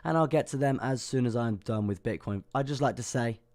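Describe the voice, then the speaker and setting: A young man hosts a crypto livestream with a hoarse, worn-out voice, talking casually with lots of ums and restarts. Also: medium pitch, brisk, darkish tone. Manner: chatty, self-deprecating, many ums and restarts. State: hoarse, lost voice. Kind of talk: casual market analysis livestream.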